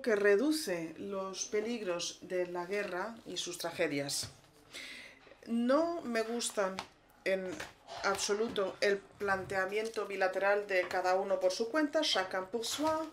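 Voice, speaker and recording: A woman working as a simultaneous interpreter at a conference, rendering the speaker's words in a measured, steady delivery. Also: medium pitch, slight background noise.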